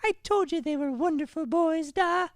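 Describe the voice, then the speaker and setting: Eager irish girl, really high breathy falsetto, affectionate daughter in an improv radio play. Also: moderate pace, no room sound, clean studio sound. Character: excitable young Irish daughter, sweetly affectionate. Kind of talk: improvised old-time radio comedy.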